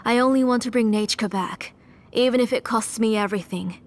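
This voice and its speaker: A woman voicing a grieving, obsessive mother in a video game, speaking in a solemn, deliberate, tender way. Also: a little high, slight background noise, lightly acted. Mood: cold resolve. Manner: solemn, deliberate, tender toward her child. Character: grieving, obsessive mother determined to resurrect her dead child. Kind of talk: dramatic video game voice acting. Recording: music behind. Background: game music.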